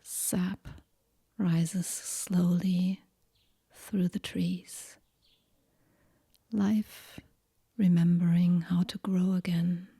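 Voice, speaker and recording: Breathy, whispering woman guiding slow meditation. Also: on the low side, little pitch movement, dry recording, clean studio sound. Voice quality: breathy, hushed, near-whisper. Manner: gentle, unhurried, soothing, with long pauses. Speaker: yoga nidra meditation guide. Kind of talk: guided relaxation meditation, soft and whispery.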